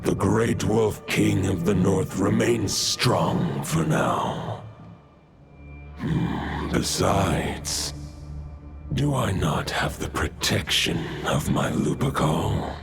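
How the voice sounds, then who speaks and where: An actor voicing an ancient great wolf spirit in a video game, grave and solemn, with a gravelly voice and formal, archaic phrasing. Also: male voice, low-pitched, expressive, very slow, full character voice. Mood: solemn, commanding. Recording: loud background music.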